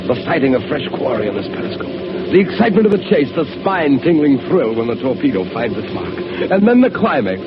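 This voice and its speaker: A man's voice: mid-range voice, very animated, quick pace, highs cut off, close to an everyday voice. A man playing a cackling mad sub captain. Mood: gloating. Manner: theatrical, cackling, barking orders. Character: mad gloating male submarine captain villain. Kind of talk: 1930s radio acting. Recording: scratchy old radio broadcast with hiss.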